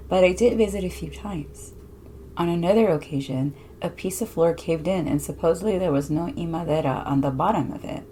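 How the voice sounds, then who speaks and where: A woman's voice: deep voice, mellow, a little hiss or hum. A woman hosting a podcast, reading a listener's spooky anecdote in a conversational way.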